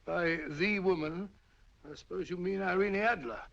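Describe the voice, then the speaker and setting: A bluff, gravelly old-film doctor. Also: male voice, high-pitched, normal speed, a bit bright. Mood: puzzled. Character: bluff, chatty middle-aged male doctor and chronicler. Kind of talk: 1940s film acting. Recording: dull lo-fi old film soundtrack.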